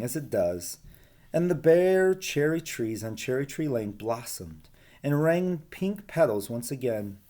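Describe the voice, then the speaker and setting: Male teacher, medium pitch, picture-book storytelling and relaxed class talk. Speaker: schoolteacher reading aloud to students.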